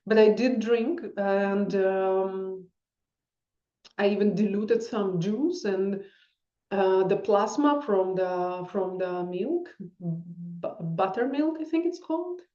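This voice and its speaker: A woman in her forties speaks in English, telling a long personal story in a loose, rambling way and stopping now and then to hunt for a word. Her voice is soft and mellow. The sound is roomy and a little dull. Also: medium pitch. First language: Russian. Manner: rambling, searching for words, non-native grammar. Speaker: vlogger.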